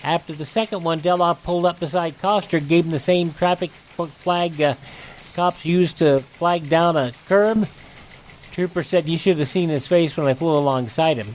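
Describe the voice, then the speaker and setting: A man hosting a radio show, reading scripted narration and news items in a deliberate announcer style. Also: on the high side, background noise.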